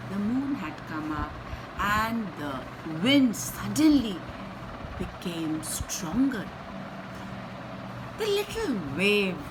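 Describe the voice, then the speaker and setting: A woman reading a picture book aloud to young children, expressively, pausing to ask them simple questions and explain things. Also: mid-range voice. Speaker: children's storyteller and channel host. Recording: clear background noise.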